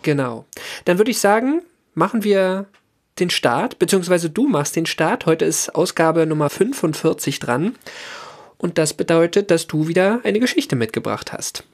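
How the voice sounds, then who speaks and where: A man's voice: on the high side, sing-song, moderate pace. A man co-hosting a science podcast, chatting casually with hesitant fillers and a cold. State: has a cold. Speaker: podcast co-host.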